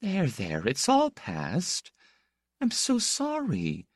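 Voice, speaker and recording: A man voicing an anxious, motherly older woman who comforts tenderly in a murmuring falsetto, for an audiobook. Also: wildly swooping pitch, takes it slowly, dry recording, studio quality, full character voice. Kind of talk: audiobook character voice.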